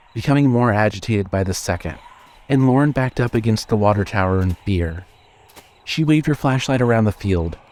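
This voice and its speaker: A man reading scripted horror fiction as narrator, in a measured storytelling manner. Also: on the low side, a little mellow.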